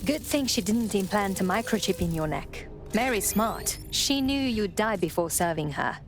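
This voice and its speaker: Actress as blunt female ally, mid pitch, expressive swings, video game dialogue. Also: normal speed, over music, lightly acted. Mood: grim.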